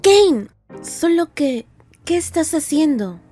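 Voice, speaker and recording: A woman's voice: high voice, very animated, takes it slowly, warm tone, soft background music, full character voice. A woman voicing an anxious young cartoon jester, earnest, weary and hesitant. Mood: exasperated, serious. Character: anxious young female jester trapped in a digital circus. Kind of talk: dramatic cartoon fan dub acting.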